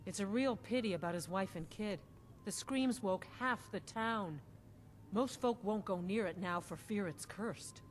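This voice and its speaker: A frank village innkeeper woman, played by an actress in a fantasy game. She has a medium-pitched voice and a folksy, gossipy manner, with faint noise behind her. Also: a little slow, close to an everyday voice. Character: plain-spoken, gossipy woman innkeeper of a slow town.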